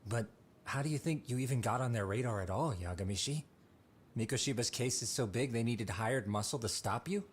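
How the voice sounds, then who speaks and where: An actor playing a sober, cautious associate of a detective in a video game dub, thoughtful in manner. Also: male voice, medium pitch, a little fast, a little hiss or hum, natural voice. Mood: pondering.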